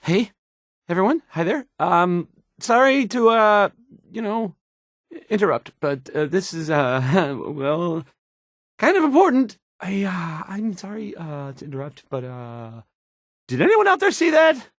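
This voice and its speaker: An actor playing a painfully shy young radio DJ in a video game, hesitant and apologetic, stammering and fumbling for words. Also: male voice, high-pitched, very animated, a little slow, dry recording, studio quality, full character voice. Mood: nervous, apologetic.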